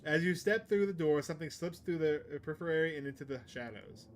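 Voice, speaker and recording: A man's voice: on the high side, animated, fast, slight background noise. A man giving casual game commentary as a streamer, muttering half-thoughts and reading on-screen story text aloud. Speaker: streamer playing a visual novel.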